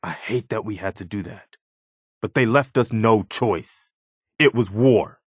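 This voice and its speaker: A dutiful militia officer from a video game, a man with a lowish voice in a dry, close recording. He speaks sincerely and steadily, measured and reassuring, like someone sober who means what he says. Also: breathy edge, dry recording. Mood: sober, reflective. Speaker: companion character in a video game.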